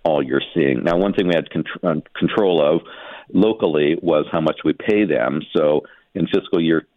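A man with an extremely low voice speaks over a narrow, phone-like line with no room sound. He explains news in a measured, conversational way, pausing and feeling for his phrasing as a guest on a radio show. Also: no echo. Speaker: newspaper editor, radio guest.

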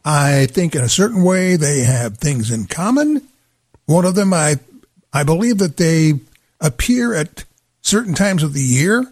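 A man's voice: a little high, big pitch swings, a little slow, darkish tone, husky, dry recording. An older man hosting a radio segment, talking in a dry, wry, rambling way into a studio mic. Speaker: radio host. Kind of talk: casual radio banter.

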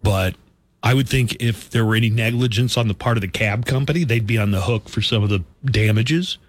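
A comedian guest in a studio, riffing sarcastically in casual banter. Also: male voice, a little fast. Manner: joking, sarcastic riffing with a lively pitch. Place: radio studio.

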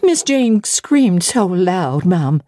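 A woman's voice: mid-range voice, animated, slow pace, warm tone, breathy edge, no room sound, studio quality, pitched above the performer's own voice. A woman voicing an anxious young nursemaid who asks urgent, raised questions.

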